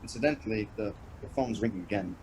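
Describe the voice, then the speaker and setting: A man's voice: lowish voice, fast talker, background noise, lightly acted. A man playing an impatient, literal-minded detective's partner in a comic drama, with a dry, nagging manner. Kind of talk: naturalistic comic adaptation acting.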